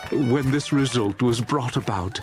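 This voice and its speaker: A man's voice: mid-range voice, measured pace. A man narrating a dramatized storybook in a theatrical, jovial manner. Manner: theatrical, jovial, relishing lists. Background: music.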